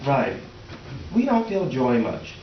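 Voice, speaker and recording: Casting: man as placating middle-aged husband, comic stage reading; flustered, soothing, animated, echoey room sound. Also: medium pitch, slow. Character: sheepish, placating middle-aged husband caught between women. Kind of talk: comic readers theater acting and narration. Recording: roomy, distant, dull, faintly noisy stage recording.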